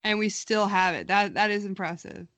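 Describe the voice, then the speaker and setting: A woman's voice: mid-range voice, steady tone, normal speed, fairly bright tone. Young woman chatting on a podcast, wry and understated, really dry close recording no echo. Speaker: podcast co-host. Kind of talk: casual chat.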